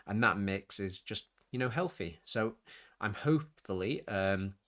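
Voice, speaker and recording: A man's voice: on the low side, expressive, unhurried, fairly bright tone. A man hosting his own hobby live stream with a nasal, sniffly voice, rambling casually and correcting himself as he goes. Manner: rambling, self-interrupting, chatty. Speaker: YouTube hobby channel host.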